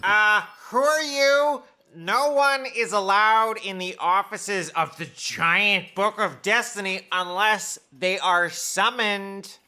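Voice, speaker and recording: A woman's voice: mid-range voice, slow pace, few pauses, sharp tone. A woman playing an officious, dramatic, rule-quoting copy aide in a comic sci-fi audio drama.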